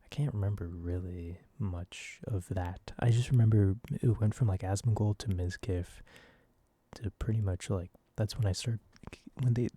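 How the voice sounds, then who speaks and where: A man in his early twenties rambling softly and casually in an ASMR style, with a self-deprecating manner. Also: on the low side, normal speed, husky. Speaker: ASMR video creator. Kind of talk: soft-spoken rambling ASMR.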